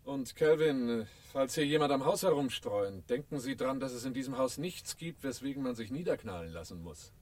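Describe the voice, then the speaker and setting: An actor playing a calm, self-assured protector with dry optimism in a radio thriller. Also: male voice, medium pitch, moderate pace, few pauses, no room sound, natural voice. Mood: wry, assured. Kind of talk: radio drama dialogue.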